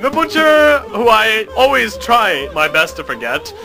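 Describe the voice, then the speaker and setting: A man's voice: high-pitched, big pitch swings, unhurried, gravelly. A man narrating a comic tale as host, in a theatrical, mock-grand storybook style. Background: music.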